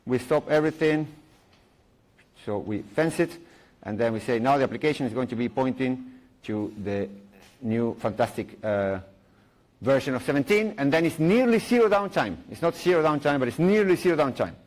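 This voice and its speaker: A man's voice: medium pitch, expressive, normal speed, darkish tone, rough recording. A middle-aged man from Chile, giving a playful live technical talk at a conference, full of jokes, fillers and restarts. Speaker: conference speaker. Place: conference room.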